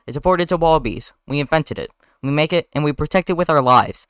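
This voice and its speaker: A man reads as a plucky cartoon honeybee. He speaks earnestly and emphatically, a little higher than everyday talk. The voice is clear and closely recorded. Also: fast talker, a bit bright, no echo, clean studio sound, close to an everyday voice. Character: earnest young male honeybee, plucky and determined.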